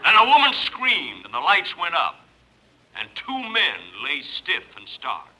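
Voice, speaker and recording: A man's voice: high-pitched, huge pitch swings, measured pace. A man narrating verse melodramatically in the style of a 1950s radio comedy. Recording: old radio recording, faint noise.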